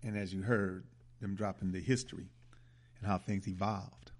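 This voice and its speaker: A man hosting a talk show, giving closing remarks in a halting way and repeating words as he starts over. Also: low voice, steady tone, a little mellow. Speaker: radio show host.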